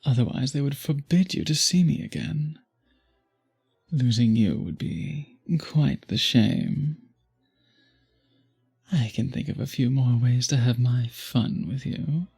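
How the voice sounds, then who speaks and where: A man playing a charming, flirtatious, predatory vampire in an ASMR roleplay, murmuring intimately and teasingly with a breathy, husky voice. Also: mid-range voice, expressive, slow, mellow, exaggerated voice. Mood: tender, suave.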